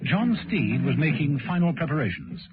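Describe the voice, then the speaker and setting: A man's voice: fairly high pitch, big pitch swings, normal speed, narrow, tinny audio, background noise. A man narrating a suspense radio serial in a dramatic scripted style, on an old radio recording. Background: loud music.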